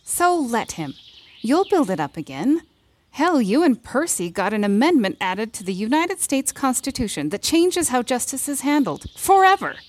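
A woman's voice: on the high side, sing-song, normal speed, no room sound. An actress playing a wry, reassuring woman advisor in an audio drama, talking in a blunt, casual way. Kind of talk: fiction podcast drama acting.

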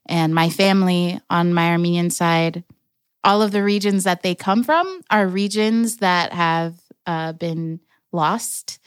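Young woman from Los Angeles, unhurried personal storyteller, smooth clear voice, slightly low. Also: no echo, studio quality. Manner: slow, reflective, with careful restarts. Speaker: storyteller, artist and organizer.

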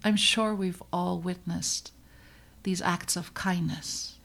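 A middle-aged woman from the Netherlands, a pediatrician hosting her own podcast, narrating in an earnest, measured and reflective way with emphatic pauses. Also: lowish voice, steady tone, vocal fry, fairly bright tone. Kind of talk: scripted personal podcast narration.

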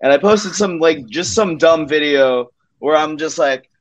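Male comedian guest, somewhat high voice, loose conversational storytelling, halting and self-interrupting. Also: low-quality recording.

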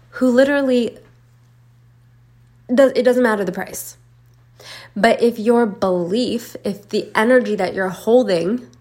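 A woman hosting a casual solo podcast, slightly raspy from being sick, riffing informally and repeating phrases for emphasis. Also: medium pitch. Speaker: podcast host and business coach.

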